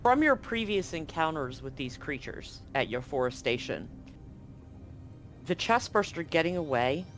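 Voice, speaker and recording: A man narrating a live tabletop game as game master, conversational and often halting to correct himself. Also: mid-range voice, a bit bright. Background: music.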